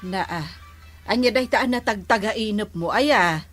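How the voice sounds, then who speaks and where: A woman's voice: mid-range voice, slow pace, a bit bright, no room sound, faint music behind. A woman with an Ilocano Filipino accent playing a nagging village captain's wife in an amateur comedy skit.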